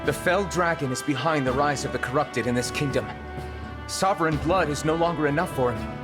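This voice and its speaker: An actor playing a serious ally in a video game, explaining things in a grave manner. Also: male voice, fairly high pitch, fairly quick, over music, close to an everyday voice. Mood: grim. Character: serious male ally explaining a dark threat. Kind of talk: video game cutscene voice acting.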